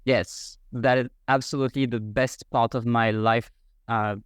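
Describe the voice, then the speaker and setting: French-accented man, mid pitch, methodical explainer, dry recording. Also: steady tone, unhurried, clear, resonant tone, no room sound. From France. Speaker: guest, French learning coach. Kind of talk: explanatory interview answers.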